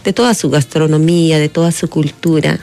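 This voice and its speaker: A middle-aged Peruvian woman from the Andes, a singer, talking reflectively in an interview with many pauses and fillers. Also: deep voice, fairly even intonation, mellow. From Andahuaylas, Peru. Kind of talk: casual interview talk.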